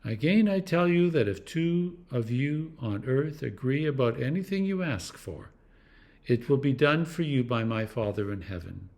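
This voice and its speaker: A clergyman reading scripture aloud in a deliberate, expressive way, with dramatic emphasis and pauses. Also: mid-range voice. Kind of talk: scripture reading, devotional narration.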